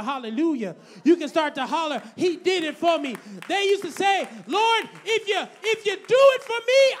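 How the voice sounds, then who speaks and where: A middle-aged man preaching a sermon into a handheld microphone in a small church hall, moving from hushed lines to shouted ones. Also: very high-pitched. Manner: preaching with a rising call, swinging from hushed to shouting. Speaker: pastor.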